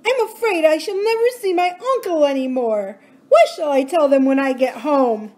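A woman's voice: sits high, animated, moderate pace, mellow, pitched above the performer's own voice. A woman voicing a frightened little boy in a storybook, speaking in a tearful, pleading way. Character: frightened little boy missing his uncle.

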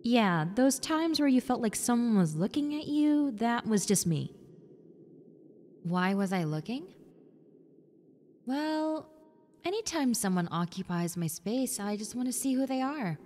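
A woman voicing a friendly, needy young female ghost in an intimate roleplay, softly coaxing the listener with hesitant pauses. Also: fairly high pitch, expressive. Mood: coaxing, reassuring. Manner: soft, coaxing, hesitant pauses, sometimes near whisper. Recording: long reverb, clear background noise and ambience.

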